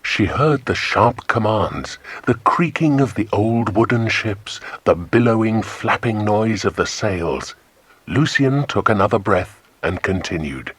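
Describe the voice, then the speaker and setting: Male British narrator for a children's adventure audiobook, deep and husky, expressive, measured delivery. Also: no echo. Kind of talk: scripted storybook narration.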